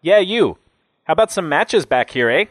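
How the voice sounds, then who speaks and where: A man's voice: on the high side, animated, normal speed, fairly bright tone, no room sound, studio quality, voice pushed up. A man voicing an angry, foul-mouthed jailed man in his late twenties, ranting and agitated in an audiobook story. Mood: loud, demanding. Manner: agitated, ranting, heavy swearing.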